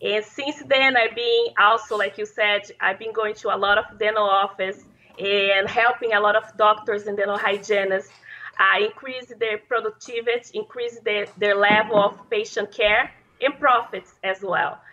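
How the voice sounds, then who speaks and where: Woman, Brazilian Portuguese accent, Portuguese native speaker, bright forceful tone, earnest, slightly dull recording. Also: medium pitch, steady tone, moderate pace. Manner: earnest, slightly non-native phrasing, laughs easily. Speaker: guest, dental hygienist and consultant. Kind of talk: interview conversation.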